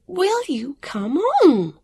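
A harassed, irritable woman guide, put on by a female reader with a raised, lively pitch, speaking brusquely and impatiently. Her voice has a husky edge, heard close and dry in an audiobook. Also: measured pace, no room sound, full character voice. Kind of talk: audiobook character voice.

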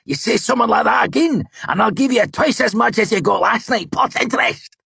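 A man voicing a big, bearded, mocking male mercenary with a thick northern accent, loud and swaggering in a storybook reading. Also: very high voice, a little fast, gravelly, husky, no echo, studio quality, pitched above the performer's own voice, heavily acted.

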